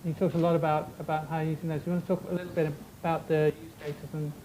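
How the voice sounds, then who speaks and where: A man from the United Kingdom moderating a conference panel in a relaxed, informal way with many fillers. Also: a little high, quick pace. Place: conference stage. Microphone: handheld stage mic. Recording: echoey conference room with background noise.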